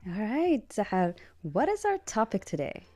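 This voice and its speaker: Mellow upbeat woman radio host. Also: mid-range voice, wildly swooping pitch, measured pace, soft background music. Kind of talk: casual radio chat. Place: radio studio.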